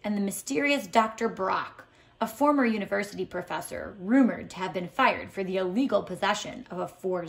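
A woman reads a story aloud for children in a medium-pitched voice. Her delivery is deliberate and expressive, with emphasis on key words, in the manner of a teacher giving a lesson. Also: gravelly. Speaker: teacher reading a picture book aloud. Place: indoors at home, tiled floor.

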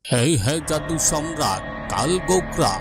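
A man's voice: a little high, expressive, unhurried. A man voicing a commanding evil overlord in a fairytale cartoon, pompous, gloating and theatrical. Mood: gloating, pleased. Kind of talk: dramatic fairytale cartoon dubbing. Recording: loud dramatic music behind the voice.

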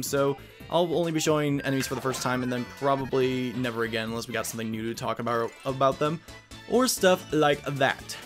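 A man giving casual, chatty let's play commentary with slangy enthusiasm. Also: medium pitch. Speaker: gaming video host.

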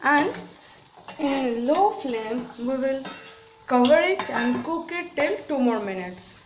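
A woman whose first language is Bengali, calmly walking through a home cooking tutorial with frequent "okay, so" fillers, at her kitchen stove. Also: high-pitched, slow, highs cut off. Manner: calm, instructive, many "okay, so" fillers. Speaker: cooking video host. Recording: home recording with clear kitchen noise. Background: clinking pots, pans and cutlery.

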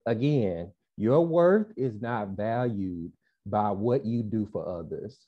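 A man's voice: on the low side, expressive, takes it slowly, narrow, tinny audio. A young man from Mississippi with a Southern accent, speaking earnestly as a panel guest on a video call, with many "uh" and "um" fillers. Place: small room indoors. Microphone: earbuds on a video call.

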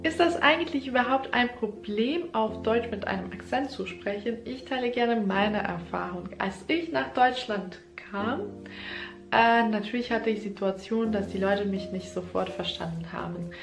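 A woman's voice: fairly high pitch, normal speed, roomy sound, over music. A young woman who is not a native German speaker, talking earnestly to the camera about her own experience as a German teacher. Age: young adult. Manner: earnest, slightly non-native phrasing, speaks with hands. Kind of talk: casual talk to camera. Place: small room, indoors. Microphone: camera in front, head-and-shoulders framing.

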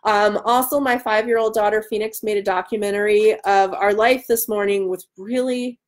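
A woman in her thirties hosting a casual live stream from home, loud, excitable and bantering as she answers comments. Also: mid-range voice, normal speed. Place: room at home by a large window.

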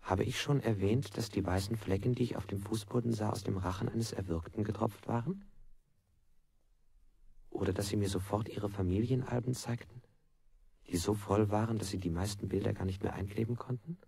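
An actor playing a bound prisoner under interrogation, reciting his account deliberately in a literary 1960s radio play style. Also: fairly low pitch, steady tone, mellow, no room sound, full character voice. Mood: measured recounting. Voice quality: husky, creaky.

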